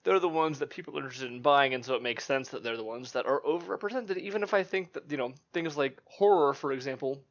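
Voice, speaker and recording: A man hosting a casual podcast discussion, animated and rising in pitch as he lists examples. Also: quick pace, few pauses. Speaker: podcast host. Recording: dull lo-fi podcast audio.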